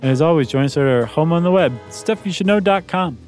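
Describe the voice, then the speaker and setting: A man's voice: fairly high pitch, expressive, fast, over music. A man co-hosting a podcast, chatting casually with wry joking asides. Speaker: podcast co-host. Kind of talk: casual chat.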